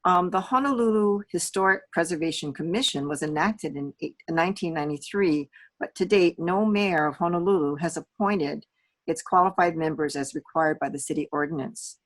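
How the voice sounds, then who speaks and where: A woman's voice: on the low side, normal speed. A woman interviewing for a community group, putting prepared questions and explaining them informally.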